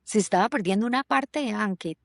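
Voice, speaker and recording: A woman's voice: mid-range voice, brisk, vocal fry, no echo, studio quality. A woman hosting a podcast, talking in a reflective way with many fillers and restarts. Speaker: podcast host. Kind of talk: conversational podcast talk. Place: lives in Germany.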